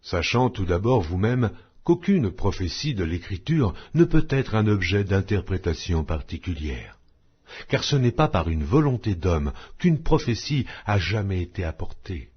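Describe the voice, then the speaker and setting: Man, very low voice, husky, lively pitch, solemn scripture reading. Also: muffled recording. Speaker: Bible reader.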